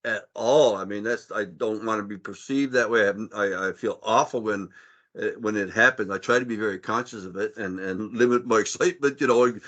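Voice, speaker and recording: A deep, strong-voiced man in middle age speaks on a panel discussion. He is earnest and repeats himself, and grows loud when he gets excited. His voice sits low. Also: brisk, muffled recording. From Canada.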